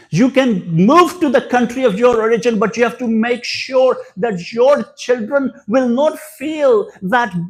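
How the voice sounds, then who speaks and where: Guy giving a slow religious talk, high voice, swoops up and down a lot, repeats stuff for emphasis. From Pakistan. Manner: deliberate, repeats key phrases for emphasis. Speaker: guest speaker at a religious gathering. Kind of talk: religious lecture with personal storytelling.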